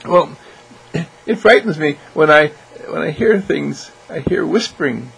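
Man putting on a high, frightened little girl. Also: expressive, a little slow, gravelly, fairly bright tone, breathy edge, exaggerated voice, rough recording. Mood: anxious. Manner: anxious, questioning, pleading. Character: frightened, pleading seven-year-old girl twin.

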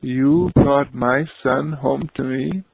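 A man's voice: mid-range voice, steady tone, takes it slowly, gravelly, darkish tone, slightly rough, no room sound, pitched above the performer's own voice, exaggerated voice. A man voicing a frantic grieving mother who wails and repeats herself in sobs. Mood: relieved fretting. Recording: dull lo-fi recording.

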